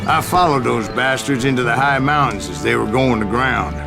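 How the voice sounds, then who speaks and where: An actor playing a grizzled aging American gunslinger who tells of his exploits in a gruff, boastful drawl for a Western game. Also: medium pitch, moderate pace, over loud music, close to an everyday voice, rough recording. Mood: boastful, reminiscing. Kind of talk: Western game storytelling narration.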